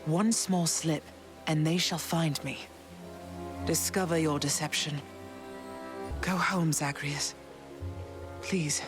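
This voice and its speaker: A woman voicing a gentle, sorrowful goddess mother in a video game, formal, tender and measured. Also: deep voice, fairly even intonation, over music. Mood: anxious, sorrowful. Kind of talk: dramatic video game acting.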